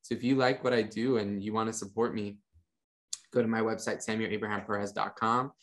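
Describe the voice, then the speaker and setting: A young man on a video call, a podcast guest talking about his ministry in a relaxed, rambling way with many fillers. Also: on the low side, fairly quick. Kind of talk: casual interview talk. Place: home room with monitors. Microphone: studio mic on a video call.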